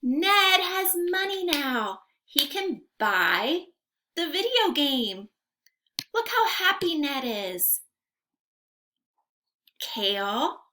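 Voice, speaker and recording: High, animated young woman teaching little children. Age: young adult. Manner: animated, sing-song, carefully enunciated with questions to young listeners. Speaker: teacher reading aloud to children. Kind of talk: interactive children's read-aloud lesson. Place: room at home.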